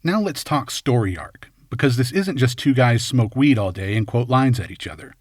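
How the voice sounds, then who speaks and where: A man's voice: mid-range voice, animated, normal speed, faint music behind. A man reading scripted film commentary as host, in a wry, tongue-in-cheek way. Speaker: video essay host.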